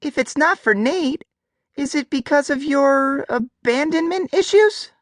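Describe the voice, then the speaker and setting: Woman as a high-pitched, mischievous little fairy boy. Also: a little slow, dry recording, studio quality. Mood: cheeky. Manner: cheeky, eager. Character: tiny, mischievous, excitable male fairies. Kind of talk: full-cast audiobook acting.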